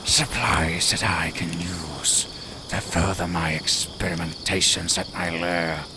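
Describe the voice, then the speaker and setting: A man playing a cunning, proud lone werewolf in an audio roleplay, speaking formally and deliberately with a menacing edge. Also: deep voice, steady tone, background noise. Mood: measured, secretive. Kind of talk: slow theatrical audio roleplay.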